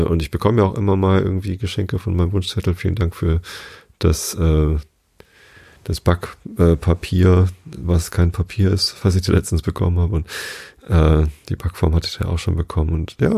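A hoarse man hosting a sleep podcast, talking casually and meandering with many fillers and restarts. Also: deep voice, dark tone, no echo, clean studio sound. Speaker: podcast host.

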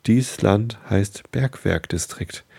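A man's voice: on the low side, vocal fry, mellow, slightly rough, heavily acted. A man voicing an uncanny old owl woken from sleep, hooting and drawing out his words. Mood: eerie. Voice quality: hooting, drawn-out. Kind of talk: bedtime story reading.

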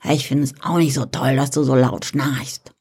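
A man's voice: medium pitch, fairly even intonation, a little mellow, husky, pitched above the performer's own voice. A man doing a cheeky, drawling female frog. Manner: drawling, teasing, unhurried. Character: cheeky sarcastic female frog, calm and dry. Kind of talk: storybook reading.

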